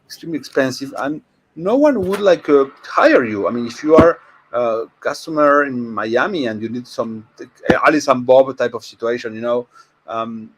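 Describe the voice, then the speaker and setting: Male panelist, Argentine Spanish accent, mid-range voice, informal and halting explanatory delivery. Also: medium pitch, expressive, background noise.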